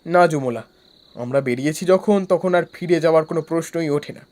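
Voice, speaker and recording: A man's voice: a little high, a little fast, a little mellow, close to an everyday voice. A man playing a firm, composed figure in a scripted horror cartoon.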